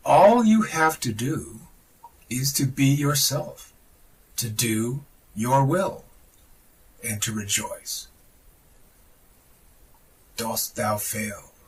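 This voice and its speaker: A man reading a religious text aloud in a solemn, dramatic style, declamatory and deliberate, with emphatic pauses. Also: mid-range voice, expressive, recorded from a distance, a little hiss or hum, poor audio. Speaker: podcast reader of esoteric texts.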